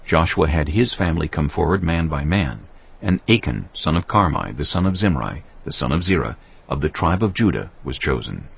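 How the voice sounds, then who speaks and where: A man narrating a dramatized Bible as scripted storytelling, measured and dramatic. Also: rumbling low, lively intonation, vocal fry, slightly rough, muffled recording.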